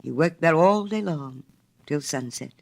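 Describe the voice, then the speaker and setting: A woman's voice: sits low, lively intonation, a little slow, creaky, darkish tone. A woman reading a literary story aloud in a theatrical manner, with dramatic phrasing. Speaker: storyteller, reader. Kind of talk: expressive literary storybook narration.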